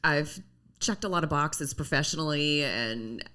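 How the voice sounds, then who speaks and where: This is a woman close to fifty with a bright, slightly lower voice, talking on a podcast. She is blunt and candid, her pitch moving a lot, and she laughs easily. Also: animated, a little slow, smooth. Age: 40s. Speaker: podcast co-host. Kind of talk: casual chat.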